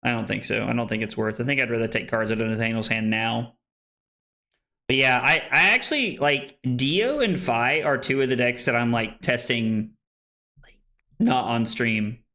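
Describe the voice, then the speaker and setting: This is a young man in his late twenties who streams a card game and talks his plays through out loud. He is chatty and joking, and his pitch sits in an ordinary middle range. He keeps up a loose, casual flow of chat. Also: normal speed. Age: 20s. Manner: chatty, joking, thinks aloud.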